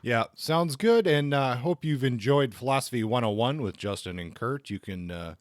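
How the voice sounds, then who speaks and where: A man's voice: medium pitch, expressive, normal speed, no echo. A man with a mid-range voice talks as a podcast host. His delivery is casual and a little hesitant as he works through his thoughts, and the sound is dry, with little room to it. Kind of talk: casual podcast talk and book review.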